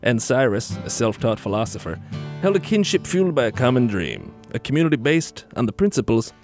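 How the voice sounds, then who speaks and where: Man narrating history over clear guitar music. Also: medium pitch, very animated, fairly quick. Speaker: documentary narrator. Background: plucked guitar music.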